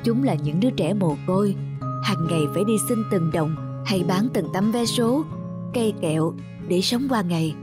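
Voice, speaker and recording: A woman's voice: mid-range voice, expressive, normal speed, natural voice. Woman voicing a patient ice cream man. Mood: earnest. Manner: patient, explanatory. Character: kindly middle-aged male ice cream seller, patient, respectful. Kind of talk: cartoon dubbing. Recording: music behind the voice.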